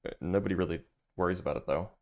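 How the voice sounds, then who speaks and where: A man with a deep voice hosting a livestream, reading a physics paper aloud and chatting loosely with viewers between passages, with plenty of fillers. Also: racing. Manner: loose and chatty, many fillers, answers chat.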